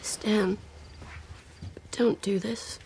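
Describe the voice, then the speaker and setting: A woman's voice: medium pitch, steady tone, drawn-out words, darkish tone, a little hiss or hum. An actress playing a distraught young mother and neglected wife, pleading in an emotional drama.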